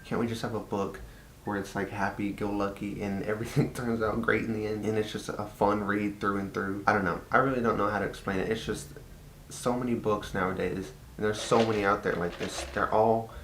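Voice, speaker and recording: A man's voice: flat delivery, a little fast, a bit bright. A young man from Texas with a Texan Southern accent, chatting casually in a personal vlog and filling his talk with "like" and repeats. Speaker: vlogger. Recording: echoey room with faint rumble.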